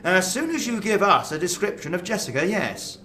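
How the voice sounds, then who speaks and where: A man's voice: sits high, big pitch swings, brisk, bright, forceful tone, exaggerated voice. A man playing a courteous, reassuring police officer in a radio drama. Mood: calm, sympathetic. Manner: polite, reassuring.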